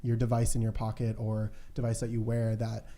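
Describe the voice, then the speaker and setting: A man's voice: fairly low pitch, monotone, brisk, mellow. A man co-hosting a podcast, talking in a casual, conversational way. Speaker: podcast co-host.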